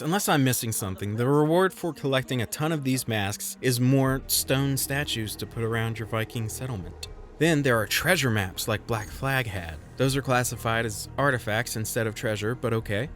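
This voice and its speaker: A man's voice: mid-range voice, fairly bright tone. A man reading a scripted video game review voice-over in a wry, conversational way, with self-deprecating asides.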